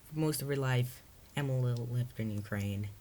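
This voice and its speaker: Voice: medium pitch, normal speed, a little mellow, slight background noise. A narrator reading their own story aloud in a storybook read-aloud style.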